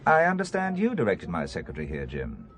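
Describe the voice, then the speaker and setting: An actor playing a wary, quick-witted businessman turned spy in an audio drama, with a dry, sardonic manner. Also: low-pitched, huge pitch swings, fairly bright tone, a little hiss or hum, full character voice. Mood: guardedly polite. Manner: dry, sardonic, hesitant when probing.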